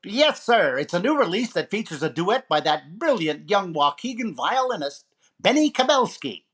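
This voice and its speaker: A man's voice: high voice, very animated, normal speed, fairly bright tone, breathy edge, heavily acted. Brash wisecracking male radio comic as acted by a man, 1940s style, jive-talking disc jockey with showy, punchy announcer patter. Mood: upbeat, showy. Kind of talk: 1940s radio comedy acting.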